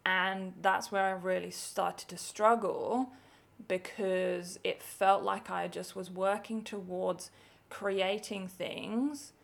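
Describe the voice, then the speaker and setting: A woman's voice: medium pitch, steady tone, slow, creaky. A young woman from England, her accent half English and half Australian, co-hosting a podcast in a chatty, laughing way. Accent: English with some Australian. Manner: chatty, laughs often, many likes and ums. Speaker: podcast co-host. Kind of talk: casual chat.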